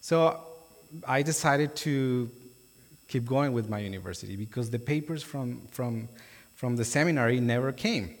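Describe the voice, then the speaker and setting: A man's voice: medium pitch, normal speed. A young adult man from Colombia with a Colombian Spanish accent, a native Spanish speaker, giving his testimony as a guest preacher in a church, speaking informally with many fillers and restarts. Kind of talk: sermon testimony. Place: church sanctuary. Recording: long hall reverb.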